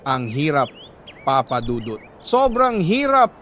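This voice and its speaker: A Filipino man with a slightly high voice narrates a confessional love letter like a radio drama host. He is solemn and sentimental, leaving deliberate pauses between phrases. There is some noise in the recording behind him. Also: big pitch swings, a bit bright.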